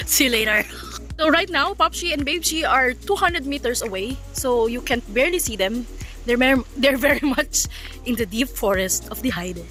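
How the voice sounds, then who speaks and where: A woman's voice: high-pitched, expressive, moderate pace, bright, forceful tone, soft background music. A woman with a Filipino accent giving casual, cheerful vlog commentary outdoors. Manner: giggly, cheerful. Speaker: vlogger reviewing a product. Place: outdoors in a forest.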